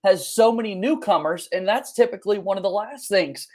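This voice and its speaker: A man's voice: high-pitched, brisk, darkish tone. A man hosting a sports podcast, talking in a polished, upbeat, announcer-like way as he introduces and interviews.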